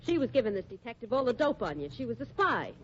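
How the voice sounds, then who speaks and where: A defiant, spiteful underworld dame, with a highish, very animated voice. She snaps out accusing slang on a muffled, noisy old radio drama recording. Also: fast talker. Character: brassy, spiteful gangland informer woman.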